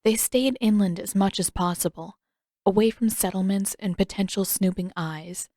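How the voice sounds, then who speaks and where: A woman's voice: medium pitch, moderate pace, warm tone, no echo, clean studio sound. A woman reading a scripted story expressively, with wry, emphatic phrasing. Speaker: narrator, fan fiction reader.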